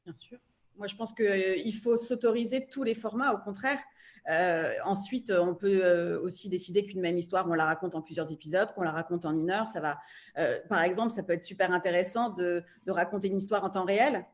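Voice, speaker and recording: A woman's voice: fairly low pitch, fairly even intonation, fairly quick. A woman, speaking conversationally as a guest on a discussion panel.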